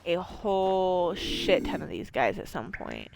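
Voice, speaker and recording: A woman's voice: lowish voice, big pitch swings, a little slow, creaky, noisy background. Chatty rambling woman streamer. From Maine. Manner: rambling, chatty, lots of fillers and swearing. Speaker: game streamer. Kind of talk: casual let's-play chat.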